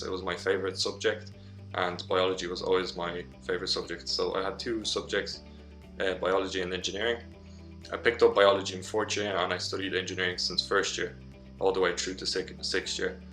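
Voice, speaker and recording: Deep flat-voiced young man from Poland. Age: young adult. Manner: steady, with small restarts. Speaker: engineering student. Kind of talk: personal account to school students. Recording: echoey room, faint music.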